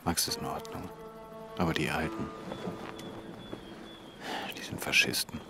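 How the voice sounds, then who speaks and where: Low-voiced, proud young engineer. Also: male voice, steady tone, unhurried. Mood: wary, hushed. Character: proud, ambitious young Egyptian engineer, earnest and resentful. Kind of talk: intimate radio drama dialogue. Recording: music behind the voice. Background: music.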